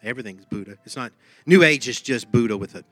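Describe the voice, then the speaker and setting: A man's voice: mid-range voice, expressive, quick pace, a bit bright, no echo, faint music behind. A man with a Texan accent preaching a sermon in an emphatic, conversational way, often repeating his phrases. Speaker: pastor.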